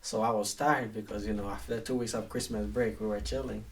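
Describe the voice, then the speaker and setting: Flat-voiced man from Haiti, a bit off-mic. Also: fairly low pitch, fairly quick, far from the mic. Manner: flat, matter-of-fact telling. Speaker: guest. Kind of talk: casual interview storytelling.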